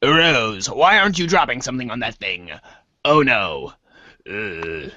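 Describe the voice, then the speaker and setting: An exasperated, confused boy hero, played by a man in amateur webcomic theater. The voice is bright and swings widely in pitch, with slow, drawn-out lines in a dry space. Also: big pitch swings, dry recording, muffled recording, full character voice. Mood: alarmed.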